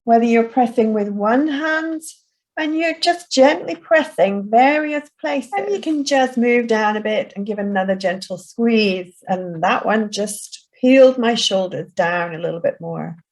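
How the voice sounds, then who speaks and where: Woman relaxation instructor, unhurried and soft-spoken, guiding a calm session. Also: on the high side. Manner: soft, slow and soothing. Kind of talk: guided self-soothing session.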